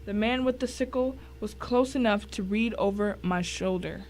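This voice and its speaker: A woman reads a suspense story in the first person. Her medium-pitched voice carries a dramatic narrating style. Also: moderate pace, a little mellow, low-quality recording.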